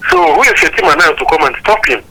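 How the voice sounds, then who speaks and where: A Nigerian man calling a talk show over a thin phone line, giving political opinions in an emphatic, deliberate, argumentative way. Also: fairly high pitch, expressive, pushed and bright, slight background noise. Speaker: phone-in caller. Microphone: phone call.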